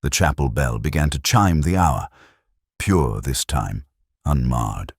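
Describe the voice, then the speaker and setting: A man playing a brooding, literary police inspector who narrates his case in a measured, reflective, melancholic way, as in a scripted audio drama. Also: extremely low pitch, animated, slightly rough, no echo, studio quality, exaggerated voice. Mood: pensive, wary.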